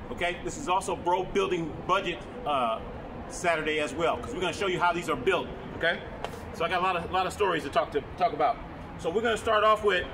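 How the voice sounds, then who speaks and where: A man talking loudly and rambling as he shows off old cameras, correcting himself often, recorded on a phone with no microphone. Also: high voice. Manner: loud, rambling, self-correcting. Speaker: vlogger and camera collector. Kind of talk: casual on-camera show-and-tell. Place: his broadcaster museum room. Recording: phone recording with clear background noise.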